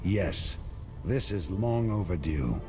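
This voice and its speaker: A resolute game hero, low in pitch and widely inflected. He is a stern warrior, terse and grave, with music playing behind him. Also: expressive, slow. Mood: grim resolve. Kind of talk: dramatic video game cutscene acting.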